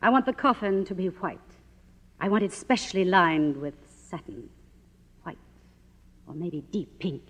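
An actress playing a middle-aged, faded silent film star in a 1950s radio drama, grandiose, bitter and theatrical. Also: female voice, on the low side, expressive, a little slow, long pauses. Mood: imperious. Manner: theatrical, imperious, declamatory.

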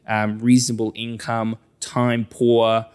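A man's voice: medium pitch, completely flat delivery, takes it slowly, a little mellow, smooth. A man with a New Zealand accent talking as a financial adviser in a conversational interview, often voicing imagined clients to illustrate his explanations.

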